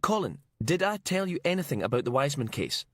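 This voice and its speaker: A man's voice: a little high, sing-song, quick pace, no echo, studio quality, voice pushed up. A man voicing an imperious, icy woman pathologist in an audiobook, clipped, cutting and indignant. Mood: demanding. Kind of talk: audiobook character acting.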